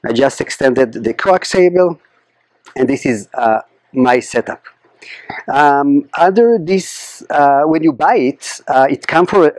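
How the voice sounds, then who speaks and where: Middle-aged male vlogger, non-native English, medium pitch, halting casual explainer delivery, dry lapel-mic sound. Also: darkish tone, no room sound. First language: not a native English speaker. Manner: halting, hesitant, pausing often mid-sentence. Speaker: ham radio channel host. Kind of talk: casual product review and setup explanation. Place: backyard lawn outdoors, by a house.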